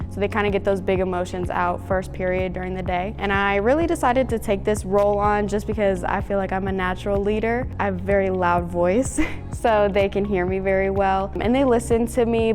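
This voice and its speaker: A woman's voice: mid-range voice, darkish tone. A teenage girl, an eleventh-grade student, talking earnestly and eagerly about her class. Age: teens.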